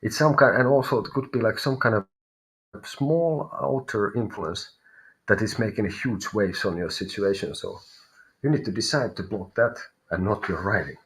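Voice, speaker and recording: A man's voice: on the low side, normal speed, fairly bright tone, low-quality recording. A man with a Finnish accent giving live tarot readings to viewers, thinking aloud and often searching for his words. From Finland. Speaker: tarot reader.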